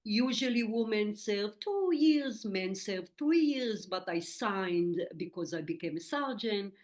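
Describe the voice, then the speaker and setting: A woman's voice: medium pitch, lively intonation. A middle-aged woman from Israel with an Israeli accent, a Hebrew native speaker telling her story as an interview guest over a video call in earnest, slightly broken English. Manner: warm and earnest, with halting non-native grammar and "eh" fillers. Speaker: guest, medium and spiritual teacher.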